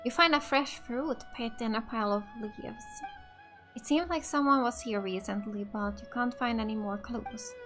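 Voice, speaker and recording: A woman's voice: fairly high pitch, moderate pace, fairly bright tone, soft background music. A woman from Finland hosting a casual let's play, thinking aloud and reading game text without hurry. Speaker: gaming video host.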